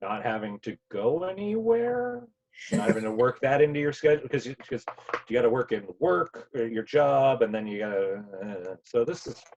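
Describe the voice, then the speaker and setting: A man's voice: mid-range voice, lively intonation, normal speed, gravelly, darkish tone. A man talking casually as an actor on an online panel, over a lo-fi video call.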